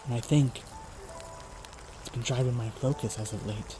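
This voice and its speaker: A man playing a young knight in a fanmade audiodrama, quiet and dutiful, speaking in a subdued, earnest, measured way. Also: medium pitch, over music, lightly acted. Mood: burdened, resolute. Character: young male knight, quiet, burdened, dutiful, gently caring. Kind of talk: audiodrama character acting.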